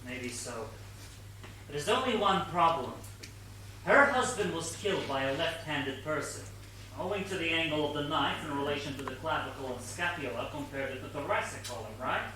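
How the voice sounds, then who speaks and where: A man acting an earnest young-ranking detective sidekick in a stage comedy. His voice is bright and slightly high, hesitant in manner, picked up in a very echoey theatre. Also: animated, normal speed. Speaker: stage actor. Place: theatre stage, recorded from a distance. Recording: roomy distant stage recording, noisy.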